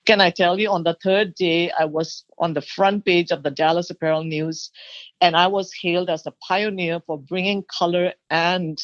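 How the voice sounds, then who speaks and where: A mature woman from Thailand telling her own story as an interview guest, animated and expressive. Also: fairly low pitch, moderate pace, no room sound. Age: middle-aged or older. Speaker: guest, bridal designer. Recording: dull, lo-fi sound.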